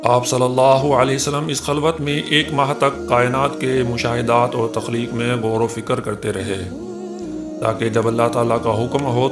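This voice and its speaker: A man reading a scripted religious history narration in a formal, reverent manner. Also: mid-range voice. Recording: music behind the voice. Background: music.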